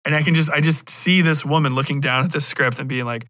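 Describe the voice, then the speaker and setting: Bright-voiced, profane man rambling casually. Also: on the high side, fast, no room sound. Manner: profane, blunt, irreverent; animated joking asides, acting out imagined remarks. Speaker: podcast host. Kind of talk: casual rambling review talk.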